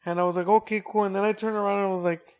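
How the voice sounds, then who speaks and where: A man's voice: high voice, clean tone. A man on a comedy podcast telling an anecdote in loose, casual chat, stopping and restarting as he goes. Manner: animated storyteller, halting restarts. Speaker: podcast co-host or guest.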